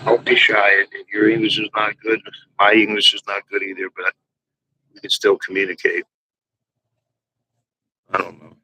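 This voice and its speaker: A man with a deep, raspy voice hosting a live chat room, chatting casually to himself while he waits for people on a small external mic. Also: monotone, moderate pace, pauses often, pushed and bright, poor audio. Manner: rambling, talks to himself while waiting.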